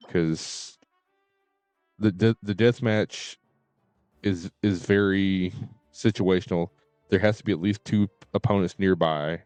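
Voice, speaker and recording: A man talking casually as a game streamer, in a halting way with repeated and stuttered words. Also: deep voice, darkish tone, clear, resonant tone, no echo.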